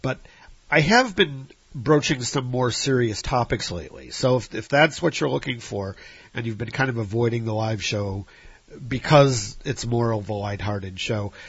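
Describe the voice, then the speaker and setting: A man's voice: medium pitch, moderate pace, slightly rough, no echo. A man with a Midwestern American accent hosting a solo podcast, sounding nasal and stuffed up from his sinuses, rambling with fillers and restarts in an apologetic way. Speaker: podcast host. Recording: dry, dull lo-fi recording.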